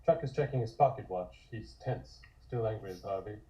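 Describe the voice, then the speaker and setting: A man's voice: on the low side, a little fast, mellow, rough recording. A man reading scripted narration and stage directions.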